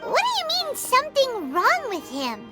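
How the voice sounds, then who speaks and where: A tiny floating fairy sidekick from a video game, extremely high and squeaky. She is childlike and excitable, swinging wildly in pitch as she fires off blunt questions, with loud music underneath. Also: measured pace, exaggerated voice. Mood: curious, surprised.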